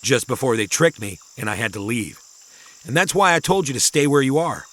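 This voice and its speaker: A secretive inventor professor in a children's audio drama, acted by a man. He talks in a measured, cryptic way with a mid-range voice that rises and falls expressively, lending everything an ominous weight. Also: medium pitch, lively intonation, fairly bright tone, natural voice. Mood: grave, secretive. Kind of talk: kids' audio drama acting.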